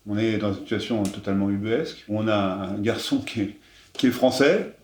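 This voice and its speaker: Lower-voiced politician in an echoey room. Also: male voice. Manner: measured, full of fillers, animated when indignant. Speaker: member of parliament. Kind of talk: explaining a case to camera.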